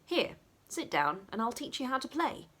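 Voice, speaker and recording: A woman voicing a jaunty, kindly English gentleman in a storybook, with a breezy, declamatory manner. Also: on the high side, big pitch swings, normal speed, pitched above the performer's own voice, full character voice. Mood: brisk, kindly.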